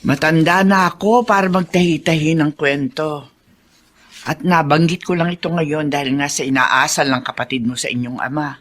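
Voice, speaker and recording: A woman's voice: low voice, fairly even intonation, moderate pace. A woman playing an elderly, earnest, gossipy family friend in a radio drama, speaking frankly. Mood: earnest, confiding. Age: older.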